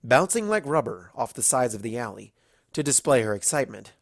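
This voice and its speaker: Expressive story narrator, close and dry. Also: medium pitch, dry recording. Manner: animated storytelling, dramatic pauses. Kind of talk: expressive scripted fan-fiction narration.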